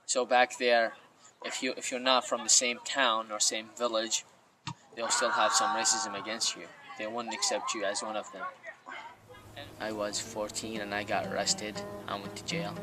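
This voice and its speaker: A man in his late teens from Iraq with a Middle Eastern accent gives personal testimony in halting, non-native English. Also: on the low side, level tone, low-quality recording. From Iraq, Jordan and Syria. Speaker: documentary interview subject. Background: music.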